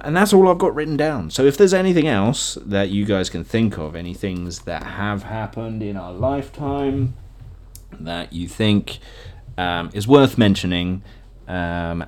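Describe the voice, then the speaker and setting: Man chatting casually as a podcast host. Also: lowish voice. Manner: jokey, laughs often at own remarks; acts out imagined quotes.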